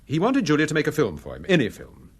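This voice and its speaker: An actor playing a calm, authoritative amateur detective in a radio drama, assured and precise as he explains. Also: on the high side, fast, slightly rough, exaggerated voice. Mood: measured, explaining.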